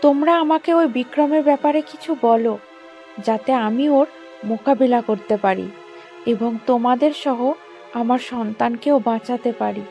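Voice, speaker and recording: A woman playing a determined, anguished young mother in an animated story, speaking in an earnest, melodramatic way. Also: on the high side, normal speed, faint music behind, lightly acted. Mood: pleading.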